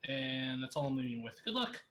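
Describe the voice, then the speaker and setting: A man's voice: medium pitch, sing-song, a little fast, very noisy. A man casually explaining things during a game stream.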